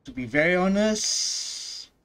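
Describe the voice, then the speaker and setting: A man's voice: high-pitched, expressive, slow, a bit bright, smooth, poor audio. A man reacting to a game story at his desk, talking in a laid-back, hesitant way with joking asides. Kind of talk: casual reaction commentary. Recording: voice over game music.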